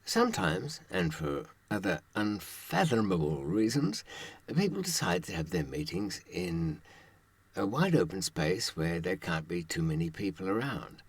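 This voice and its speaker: An older man with a low voice talks directly to his audience as an adviser. His delivery is conversational and wry, dotted with sardonic rhetorical asides. The sound is close and dry, with very little room on it. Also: sing-song, unhurried, breathy edge, no room sound. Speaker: true-crime advice presenter and author.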